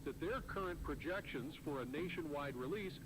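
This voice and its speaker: A man playing a formal 1960s news announcer, reading a bulletin in a measured manner. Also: fairly high pitch, expressive, lightly acted. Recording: very noisy vintage broadcast sound.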